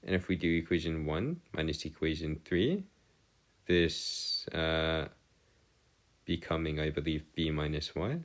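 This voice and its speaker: A man giving a step-by-step math lesson as a tutor, halting and pausing to check himself, with formal, bookish wording. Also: rumbling low. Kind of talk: lesson, working through equations step by step.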